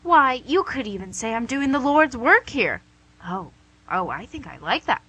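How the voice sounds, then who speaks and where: A woman's voice: on the high side, big pitch swings, moderate pace, sharp tone, slightly rough, no room sound, heavily acted, poor audio. A woman playing a smug, coaxing female devil in a comic radio play, with a theatrical, glib delivery. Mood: self-satisfied. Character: smug, wheedling, image-conscious female devil called Lucy.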